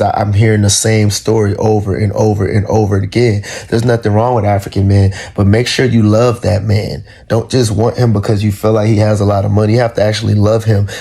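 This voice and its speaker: A man's voice: lowish voice, mellow. A man giving earnest, lecturing commentary to camera about love and money in relationships.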